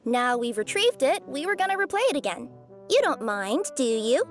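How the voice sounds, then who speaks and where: Cat courier, anime game: extremely high, cutesy, brash, scrappy and boastful, highly animated. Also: female voice, moderate pace. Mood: sly. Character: feisty little female cat courier, cute, boastful and combative. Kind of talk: anime-style video game voice acting. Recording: loud music behind.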